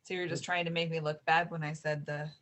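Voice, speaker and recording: A woman's voice: low-pitched, little pitch movement, a little fast, a bit bright, a little hiss or hum. A woman on a school board asking direct questions with a teasing edge. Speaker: board member.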